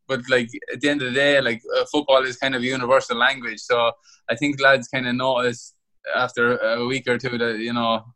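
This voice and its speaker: A man's voice: medium pitch, sharp tone, low-quality recording. A young Irish man, a footballer playing abroad, talking casually in an interview and often restarting his words. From Ireland. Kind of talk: interview over a call.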